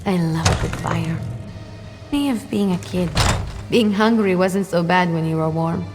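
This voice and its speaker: An actress voicing a wistful soldier in a video game. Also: female voice, lowish voice, unhurried, music in the background, lightly acted. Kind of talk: video game character dialogue.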